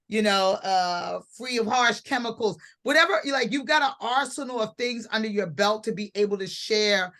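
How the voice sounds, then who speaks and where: A woman's voice: mid-range voice, fairly bright tone. A woman hosting a casual panel talk, earnest and rambling, with many restarts. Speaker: host.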